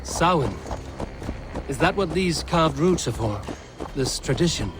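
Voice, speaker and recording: A steady Viking chieftain speaks with dry wit and loyalty. His voice sits a little high for a man. It is game dialogue with clear background ambience. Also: unhurried, noisy background. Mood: wry, measured. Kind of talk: video game dialogue acting.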